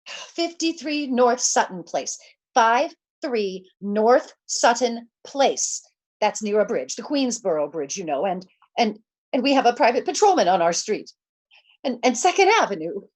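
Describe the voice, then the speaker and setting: An actress playing a nervous, demanding invalid wife in 1940s radio suspense style, with a fretful, insistent, stammering delivery. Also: female voice, fairly high pitch, big pitch swings, measured pace. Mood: anxious, flustered.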